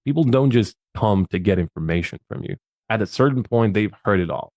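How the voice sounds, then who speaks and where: A man's voice: fairly low pitch, animated, fairly quick, darkish tone, dry recording, studio quality. A man from Bosnia, a podcast guest, gives social media advice in a casual, emphatic way and often repeats his words.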